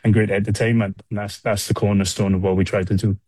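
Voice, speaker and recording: A man's voice: lowish voice, flat delivery, fast talker, a little mellow, dry recording. A man from Wales answering casually as a chef in an interview, hesitant and often repeating words as he explains. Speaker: restaurant chef being interviewed.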